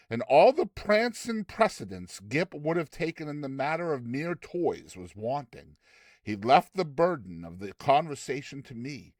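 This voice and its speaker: A man narrating a classic short story in a theatrical, expressive audiobook style. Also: medium pitch, no echo, clean studio sound.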